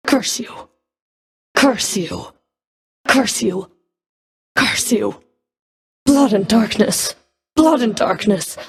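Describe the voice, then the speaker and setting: A cold female enforcer of the underworld for a video game. Her voice is very husky and breathy, and she delivers her lines slowly and deliberately. Every phrase carries scorn and threat. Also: medium pitch, exaggerated voice. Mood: angry, stung.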